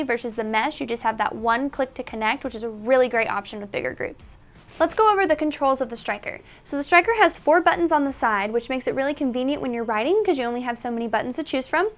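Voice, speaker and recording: A woman presenting a product tutorial in an instructional, step-by-step manner. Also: sits high. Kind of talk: tutorial narration.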